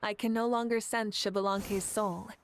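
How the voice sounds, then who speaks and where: A woman voicing a calm, kindly young leader of warrior tribes in an anime game, composed and reassuring. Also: medium pitch, moderate pace, a little hiss or hum, natural voice. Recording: music behind the voice.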